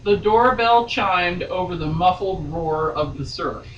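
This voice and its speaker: A woman's voice: lowish voice, expressive, unhurried, dull audio. A woman giving a theatrical, mock-serious dramatic reading of a romance novel as a guest reader. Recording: echoey room, distant mic, faint noise.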